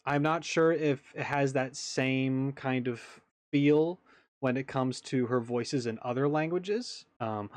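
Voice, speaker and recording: A man's voice: mid-range voice, dry recording. A man giving casual, off-the-cuff commentary as the host of a reaction video.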